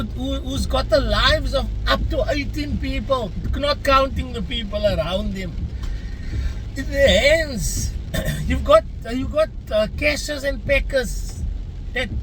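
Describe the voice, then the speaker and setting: Man, Afrikaans-first South African accent, earnest vlog rant, high voice, road noise behind. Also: a bit bright, poor audio. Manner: earnest, chatty, addresses viewers as guys. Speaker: vlogger. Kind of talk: casual opinion rant to camera.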